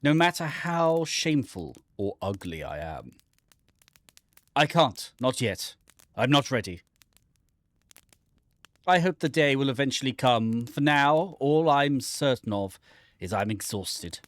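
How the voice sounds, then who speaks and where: A man's voice: mid-range voice, lively intonation, takes it slowly, long pauses, no room sound. A man reading a game script aloud in a theatrical, playful manner, slipping in dry asides. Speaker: gaming channel host.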